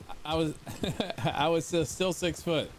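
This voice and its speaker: A man's voice: on the high side, unhurried, slight background noise. A forty-year-old man chatting casually with viewers while streaming a game, rambling and repeating himself. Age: 40s. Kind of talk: casual live-stream chat over gameplay.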